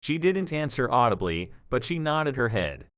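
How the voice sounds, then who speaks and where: A man narrating a scripted story for an audio drama in a measured, expressive storytelling manner. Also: medium pitch, no room sound, studio quality.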